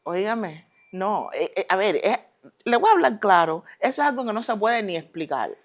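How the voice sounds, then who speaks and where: A woman with a Cuban accent speaks in a relaxed interview, measured and full of pauses and fillers. When a topic stirs her, she speeds up and presses her words with conviction. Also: mid-range voice. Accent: Cuban Spanish. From Cuba. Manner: deliberate, hesitant with many fillers, then emphatic and quick when passionate. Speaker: guest, retired Olympic judoka. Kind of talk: relaxed conversational interview answers.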